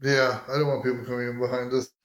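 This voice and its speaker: A woman's voice: very deep voice, little pitch movement, fast talker, reverberant. A woman playing a tabletop game, talking casually and wryly in an informal role-play.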